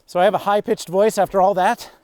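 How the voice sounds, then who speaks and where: A comedian co-hosting a podcast, chatting with wry jokes while walking an outdoor mountain trail. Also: male voice, sits high, fairly even intonation, fast, darkish tone, clean tone, no echo. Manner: wry, joking. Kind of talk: casual chat on a hike.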